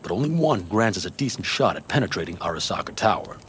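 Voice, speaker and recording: A man's voice: sits low, sing-song, quick pace, a bit bright, a little hiss or hum. A man playing a stern, cautious nomad clan chief in a video game, speaking in a terse, serious way. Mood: grave.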